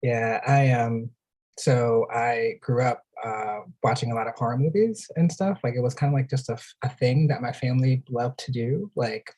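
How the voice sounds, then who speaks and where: A speaker with a husky, medium-pitched voice talks informally on a literary panel. The delivery is halting, with thoughts often broken off and rephrased. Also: darkish tone, rough recording. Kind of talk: casual literary panel discussion.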